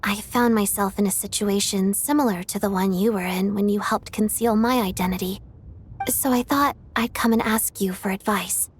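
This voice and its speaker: An actress voicing a secretive, earnest female undercover operative in a video game, with a measured, confiding delivery. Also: medium pitch, steady tone, husky, natural voice.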